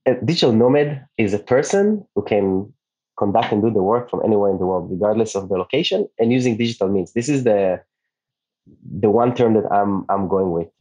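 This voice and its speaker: Mellow husky Israeli-born man. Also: on the low side, brisk, low-quality recording. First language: Hebrew. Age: 30s. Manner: relaxed, restarts often, light laughs. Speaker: podcast guest, digital nomad. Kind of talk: casual interview chat.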